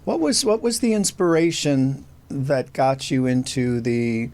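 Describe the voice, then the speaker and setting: A man's voice: medium pitch, sing-song, a little slow, a little mellow. A man hosting an interview show, introducing his guest and asking long, meandering questions. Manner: friendly, meandering questions with fillers. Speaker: talk show host.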